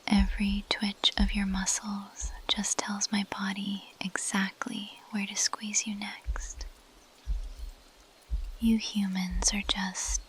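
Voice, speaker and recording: A woman voicing a possessive, seductive carnivorous plant monster in an ASMR roleplay, in a breathy, teasing and menacing whisper close to the microphone. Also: mid-range voice, fairly bright tone, slight background noise. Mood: predatory, teasing, then mocking, condescending. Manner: slow, teasing, menacing purr.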